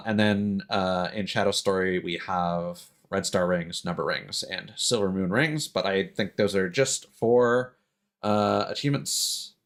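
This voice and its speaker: A man giving casual gameplay commentary, thinking aloud in a halting way. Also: fairly low pitch, a bit bright. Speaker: game streamer. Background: video game music.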